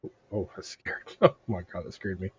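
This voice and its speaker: A man's voice: low voice, moderate pace. A man chatting casually as he plays a game on a livestream. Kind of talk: casual livestream chat while gaming. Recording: dull stream audio with faint noise.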